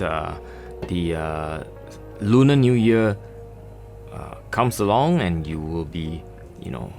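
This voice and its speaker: A middle-aged man from Malaysia, talking casually through a sketching and watercolor tutorial with many fillers and pauses. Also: low voice, creaky. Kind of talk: relaxed tutorial narration.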